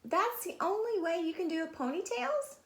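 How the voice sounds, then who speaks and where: A woman voicing a patient, doubtful mother who deliberately questions her young daughter in a storybook. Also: very high voice, lively intonation, reverberant, pitched above the performer's own voice. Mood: doubtful, final.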